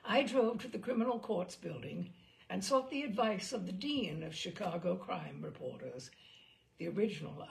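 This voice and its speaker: Gravelly woman reading with lively inflection. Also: fairly low pitch. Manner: lively, dramatic phrasing with asides. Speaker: storyteller reading a memoir aloud. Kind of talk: expressive audiobook-style narration.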